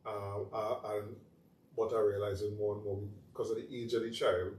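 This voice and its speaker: A man's voice: on the low side, normal speed. Trinidadian man, echoey room off-mic. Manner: animated storyteller in Creole phrasing. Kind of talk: casual chat. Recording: echoey room, mic at a distance, faint noise.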